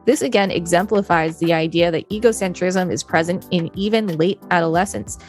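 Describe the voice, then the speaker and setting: A woman with a slightly low voice co-hosts a podcast. She reads reference material aloud in a measured, explanatory manner. Music plays behind her. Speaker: podcast co-host. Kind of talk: reading an encyclopedia article aloud with brief chat.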